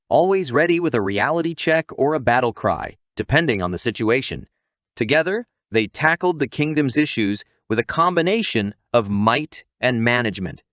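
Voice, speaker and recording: A man's voice: medium pitch, fairly bright tone, no room sound, studio quality. A man reading a scripted recap narration in a wry, tongue-in-cheek storytelling manner.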